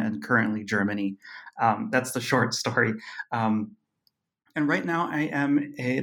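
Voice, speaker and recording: A man of middle pitch talks through his story in a loose, rambling way. He is full of ums, restarts and small self-amused laughs as he answers questions about his book. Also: fairly bright tone. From Costa Rica. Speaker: author guest. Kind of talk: casual interview talk.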